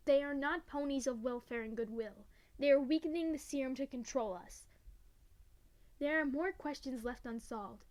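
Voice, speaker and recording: A woman's voice: high-pitched, lightly acted. A woman acting in an audio drama as an earnest, dutiful young pony daughter.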